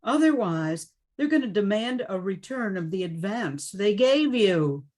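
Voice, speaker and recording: A woman's voice: medium pitch, expressive, a little mellow. A woman playing a saucy, insistent young literary agent in an amateur radio play, blunt and colloquial. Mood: firm, saucy. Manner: brisk, no-nonsense, colloquial.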